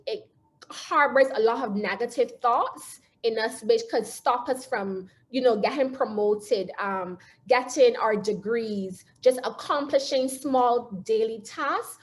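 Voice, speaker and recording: A woman with a Barbadian accent giving an earnest, animated motivational talk over a video call. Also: fairly high pitch. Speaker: guest speaker. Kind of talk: webinar talk.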